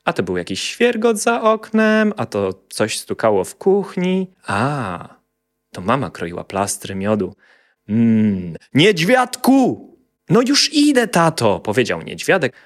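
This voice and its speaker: A male storyteller reads a scripted fairy tale for children. His voice is on the low side, and his intonation is very lively and varied. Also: measured pace.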